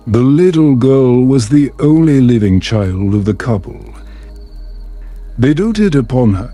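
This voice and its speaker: Slow, mellow man reading a bedtime story. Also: mid-range voice. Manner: soothing, measured. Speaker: narrator. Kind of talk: calm bedtime story narration. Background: background music.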